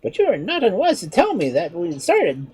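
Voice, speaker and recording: A woman's voice: medium pitch, wildly swooping pitch, a little fast, dark tone, husky, voice pushed up, heavily acted. A woman voicing a clever, playful male monkey in a storybook reading, with a chirpy, teasing manner. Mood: coaxing.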